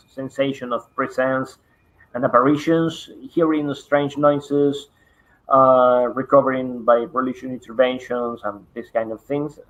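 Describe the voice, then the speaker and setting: A man whose first language is Spanish, presenting a lecture at an online conference in English, halting, with many fillers and repeated words. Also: medium pitch, fairly bright tone, rough recording. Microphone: online conference call.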